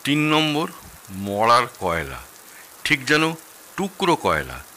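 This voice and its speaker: A man's voice: mid-range voice, huge pitch swings, takes it slowly, bright, forceful tone, full character voice. A man acting an opinionated, nostalgic middle-aged father-in-law who loves his food, in a theatrical audio-drama style. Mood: reminiscing. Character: grumbling, nostalgic elder Bengali father-in-law, fond of food.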